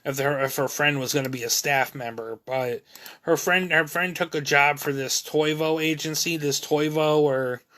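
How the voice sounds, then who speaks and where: A man in his thirties rambling candidly through a personal monologue for his video, halting often with restarts and fillers. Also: a little high, fairly even intonation, fairly bright tone. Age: 30s. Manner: candid, self-critical. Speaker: video creator.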